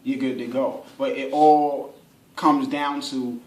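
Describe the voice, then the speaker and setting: A man's voice: medium pitch, level tone, takes it slowly, a little mellow. A man giving an informal workshop explanation as an instructor, conversational, repeating phrases for emphasis and acting out hypothetical examples. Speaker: workshop instructor, advertising specialist. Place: indoor room at a radio station. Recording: roomy, echoey workshop recording.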